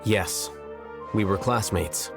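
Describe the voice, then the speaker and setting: An actor playing an aloof, blunt young scholar in a video game, deadpan, formal and coolly logical. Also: male voice, lowish voice, slow, gravelly. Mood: cool, detached. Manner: deadpan, formal, articulate, detached. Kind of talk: scripted video game voice acting. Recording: music behind the voice.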